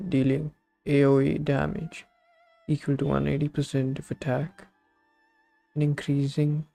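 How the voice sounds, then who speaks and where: Male streamer: gravelly fry, medium pitch, offhand blunt slangy commentary, light music behind. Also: mellow. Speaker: game live streamer. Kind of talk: casual live stream commentary.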